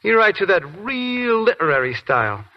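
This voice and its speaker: An actor playing a cocky young soldier who talks in a cheeky, drawling way in a 1940s radio drama. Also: male voice, high-pitched, sing-song, moderate pace, a little hiss or hum. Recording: dull lo-fi 1940s radio broadcast.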